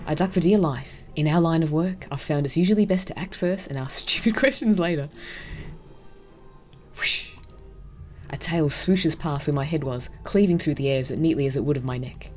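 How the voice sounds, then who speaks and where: A woman's voice: low-pitched, normal speed, darkish tone. A woman hosting a gaming video, casually commenting and reading the story text aloud. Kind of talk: casual game commentary and read-aloud narration.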